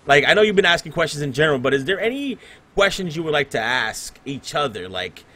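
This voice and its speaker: Puerto Rican male podcast host, fairly high voice, animated pitch, excitable and rambling. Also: fast talker. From Puerto Rico. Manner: excitable, laughing, rambling with fillers. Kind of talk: casual podcast chat.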